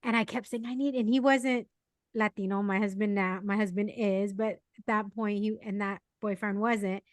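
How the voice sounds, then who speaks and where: A woman co-hosting a podcast, chatting casually and telling animated stories with fillers. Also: mid-range voice, normal speed, dry recording. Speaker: podcast co-host. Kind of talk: casual chat.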